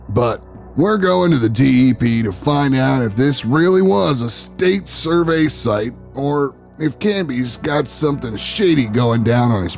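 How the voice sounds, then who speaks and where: A man playing a brash, hot-tempered ex-wrestler turned radio show host, gruff and plain-spoken, in an audio drama. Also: mid-range voice, darkish tone. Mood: confident, defiant. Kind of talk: audio drama narration and dialogue. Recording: music bed under the voice.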